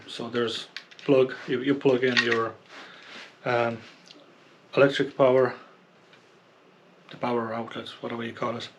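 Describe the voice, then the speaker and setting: A man's voice: mid-range voice, heavy background noise. A man giving a casual, unscripted product review in a hesitant, rambling way, often repeating himself. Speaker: product reviewer and kayak angler. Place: indoors at home near a computer.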